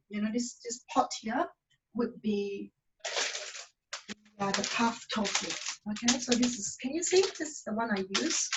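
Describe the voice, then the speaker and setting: A woman from Malaysia, giving a casual cooking demonstration in a halting way, full of fillers and restarts. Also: fairly high pitch, noisy background.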